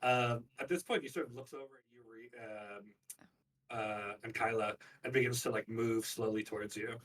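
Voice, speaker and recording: A man improvising narration as game master of a tabletop game, casual and halting. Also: medium pitch, fairly even intonation, fairly bright tone.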